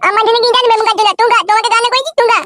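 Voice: extremely high pitch, little pitch movement, very fast, bright, forceful tone. A performer voicing a cheeky, foul-mouthed village kid who bickers insolently in a comic cartoon. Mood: bickering, insolent.

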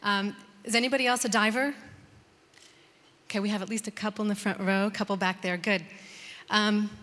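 Woman, American accent, thirties, conference speaker, bright tone, long hall reverb. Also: medium pitch, fairly even intonation, fairly quick. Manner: warm conversational, many "um"s and restarts. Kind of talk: conference talk.

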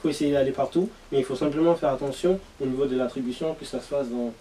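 A man's voice: mid-range voice, quick pace, mellow. A young man speaking as a panelist in a casual discussion. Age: young adult. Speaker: panelist presenting a football segment. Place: small meeting room around a table. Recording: distant room mic.

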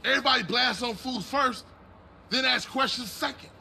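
Bitter gang-leader brother; scolding, piercingly bright, high voice. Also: male voice, normal speed, vocal fry, slight background noise, exaggerated voice. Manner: scolding, profane. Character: resentful, bossy older brother in a street gang. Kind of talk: video game cutscene acting.

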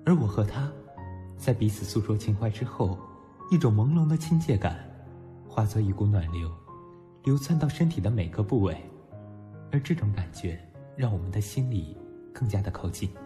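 An actor playing a wistful young man narrating his memories in a radio drama, with a soft, low voice. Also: expressive, normal speed, long pauses, natural voice. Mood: tender, reflective. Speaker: lead actor narrating as protagonist. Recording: long reverb over background music.

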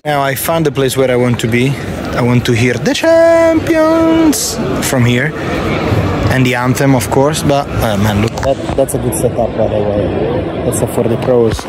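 Excitable man vlogging outside a stadium. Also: medium pitch, normal speed. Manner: excitable, chatty, repeats "look at that". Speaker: football vlogger. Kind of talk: casual walking vlog. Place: outdoors at night by a stadium. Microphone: phone camera held at arm's length. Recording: loud street and crowd noise.

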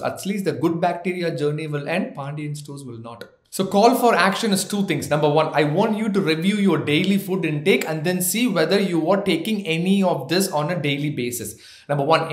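A man in his thirties from India with an Indian accent, giving health advice to camera as a doctor, listing points and slipping in light jokes. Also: fairly high pitch. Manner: gently joking, lists points one by one. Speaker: doctor, health channel host. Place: office with framed certificates, indoors. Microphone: seated, medium shot to camera. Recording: echoey office room.

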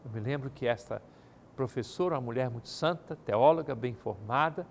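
Older male cleric, formal and measured, seated at a studio desk, faint background hiss. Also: mid-range voice, creaky. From Brazil. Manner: measured, formal pastoral address. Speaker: archbishop answering viewers' questions. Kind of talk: televised pastoral talk. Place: TV studio set. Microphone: studio mic, seated at desk.

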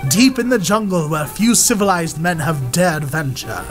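A bold, world-famous explorer from an old-time radio serial, voiced by a man, speaking high and grand with full confidence. Music plays clearly behind him. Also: lively intonation, moderate pace, natural voice. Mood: confident. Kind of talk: 1930s-style radio drama acting.

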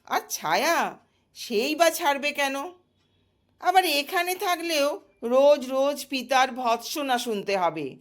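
A woman's voice: high voice, lively intonation, takes it slowly, long pauses. A woman storyteller voicing a distressed young goddess, a weary wife and daughter, in a plaintive, dramatic way. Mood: lamenting, anxious.

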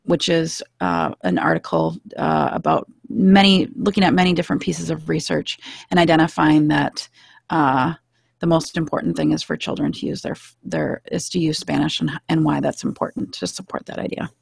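A woman in her thirties with a low, gravelly voice, asking questions on a video call. She speaks haltingly, stopping and restarting her sentences. The desk microphone gives a dry, close sound. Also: no room sound. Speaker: podcast co-host and teacher. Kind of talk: casual interview. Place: home room with shelves. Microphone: desk microphone with headphones on a video call.

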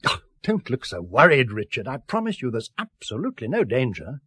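An assured, secretive British scientist in a seventies radio play. His voice is slightly husky and very animated, with articulate, earnest, insistent delivery. The recording is dry and close. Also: medium pitch, fairly quick, no echo, full character voice. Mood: instructive, insistent. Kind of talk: 1970s British radio drama acting.

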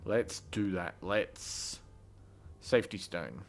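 A man's voice: low-pitched, animated, slow pace, gravelly. A man giving casual let's play commentary as a gaming host, muttering through his decisions as he plays. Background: game music.

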